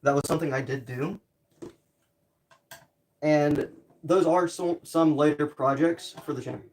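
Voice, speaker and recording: A man's voice: a little high, steady tone, unhurried, darkish tone. A man livestreaming a hands-on build, muttering to himself and chatting casually with a wry, self-deprecating manner. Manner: muttering to himself while working. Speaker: streamer building DIY projects. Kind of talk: casual livestream chat while working. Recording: distant mic with background noise, clicking.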